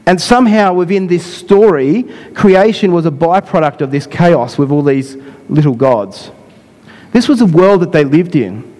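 Croaky-voiced male preacher. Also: on the high side, dark tone, in a large hall. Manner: unhurried, repeats and rephrases himself. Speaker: pastor. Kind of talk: sermon.

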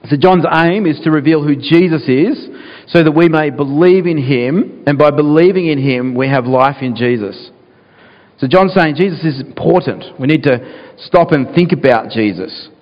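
A man with a croaky voice, earnest and pausing with fillers, praying and reading scripture as a pastor in a sermon. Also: fairly high pitch. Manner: earnest, with frequent fillers and restarts. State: croaky, clearing his throat. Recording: dull sound, long church reverb.

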